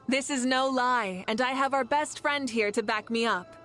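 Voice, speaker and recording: A woman's voice: high-pitched, takes it slowly, sharp tone, heavily acted. A woman voicing a confident, gracious female ruler and warrior who laughs easily, in a video game. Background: game music.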